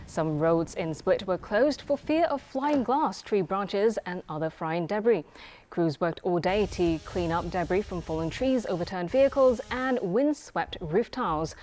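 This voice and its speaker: A woman's voice: mid-range voice, expressive, a little slow, a little mellow, slight background noise. A woman anchor reading scripted television news.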